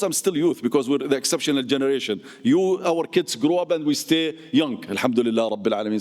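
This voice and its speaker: A middle-aged man giving an impassioned speech as a community speaker and activist, emphatic and repetitive, his voice swinging up and down. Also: a little mellow. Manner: passionate, emphatic, with repeated phrases and big pitch swings. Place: mosque hall. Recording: long reverb of a large hall.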